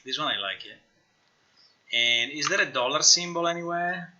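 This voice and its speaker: A man with a very bright, forceful voice talks casually through his work on a live stream. He is a non-native English speaker who mutters to himself, repeats phrases and swears freely. The recording sounds somewhat dull and lo-fi. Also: fairly high pitch, lively intonation, normal speed. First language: not English. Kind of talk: casual live stream chat, thinking aloud. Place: indoors at a computer.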